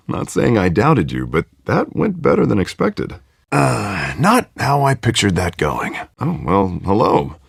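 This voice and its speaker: A man's voice: on the low side, huge pitch swings, unhurried, vocal fry, slightly rough, no room sound, clean studio sound, exaggerated voice. An actor playing a confident, charismatic middle-aged politician in a video game, with a glib, jovial salesman's manner.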